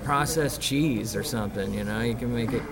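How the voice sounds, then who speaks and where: A man's voice: medium pitch, moderate pace, gravelly, over a noisy crowd. A man who plays in a band, answering interview questions in a loose, rambling way full of fillers and "you know". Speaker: band musician.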